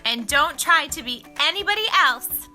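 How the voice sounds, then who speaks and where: A woman hosting a read-aloud for young children, expressive and emphatic, speaking straight to the listener. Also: high voice, moderate pace, roomy sound, soft background music. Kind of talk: animated picture-book reading for young children.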